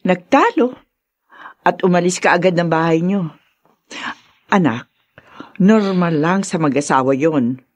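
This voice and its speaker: A woman's voice: fairly low pitch, animated, a little slow, long pauses. An actress playing a concerned older grandmother in a radio drama, motherly and fussing, given to exclamations. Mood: concerned, soothing. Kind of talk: Filipino radio drama acting.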